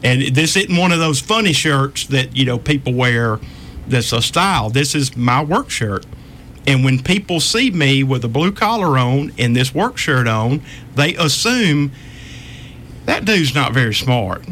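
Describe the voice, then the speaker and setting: A man's voice: mid-range voice, animated, unhurried, a bit bright, slight background noise. A man with a Southern accent, a tradesman speaking bluntly and folksily in a casual podcast chat, often laughing mid-sentence.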